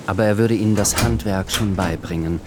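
A man narrating a literary radio drama in a measured, deliberate storytelling manner. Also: fairly low pitch, background noise.

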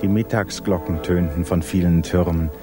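A man narrating a 1950s German literary radio drama with measured, intimate storytelling. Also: low-pitched, fairly even intonation, over music. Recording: hissy 1950s radio recording.

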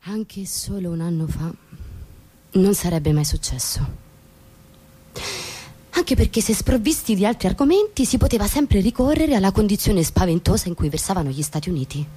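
An actress playing an ironic suburban housewife who narrates a literary stage drama in an expressive, theatrical way. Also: female voice, mid-range voice, normal speed, slightly rough. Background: music with violin.

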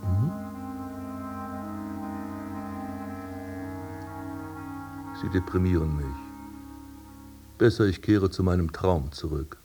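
A melancholy nobleman in a literary radio play. His voice sits very deep, and he speaks in terse, brooding phrases. Music plays clearly behind him. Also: measured pace, mellow. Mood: dejected. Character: gloomy dreaming nobleman, melancholy landscape gardener. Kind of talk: literary radio drama narration.

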